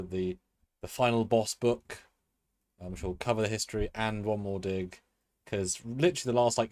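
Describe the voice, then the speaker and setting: A man with a fairly low voice who rambles in a chatty way, restarting his sentences as he goes. He co-hosts a casual live stream, and the sound is dry and close with no echo. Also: darkish tone. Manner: rambling, many fillers and restarts. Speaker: co-host. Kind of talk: live-stream review chat.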